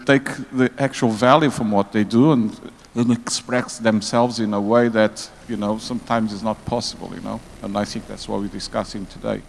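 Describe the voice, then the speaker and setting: A man giving an informal introduction on a conference panel, halting and searching for words. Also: fairly low pitch. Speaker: panelist, tech entrepreneur. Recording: echoey hall reverb.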